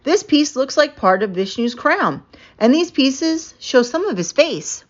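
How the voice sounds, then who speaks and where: Good-natured young scholar man played by a female reader, storybook, informative and eager, lively intonation. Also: high-pitched, measured pace, lo-fi sound, pitched above the performer's own voice, full character voice. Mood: keen. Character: studious, good-natured young male archaeologist.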